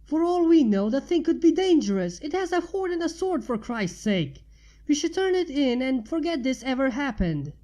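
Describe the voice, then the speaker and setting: A woman's voice: high voice, sing-song, moderate pace, warm tone, pitched above the performer's own voice. A woman voicing a blunt, teasing, skeptical female friend in a story reading. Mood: alarmed. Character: sarcastic, skeptical woman friend, easily alarmed.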